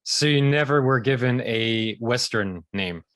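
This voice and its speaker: A man hosting a podcast, asking measured questions calmly and giving short acknowledgements. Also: medium pitch. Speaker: podcast host. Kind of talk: interview questions.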